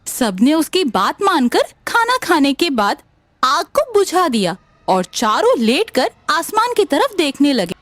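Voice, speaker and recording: Female narrator for animated stories; high register, swooping intonation. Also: unhurried, dry recording. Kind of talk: scripted story narration.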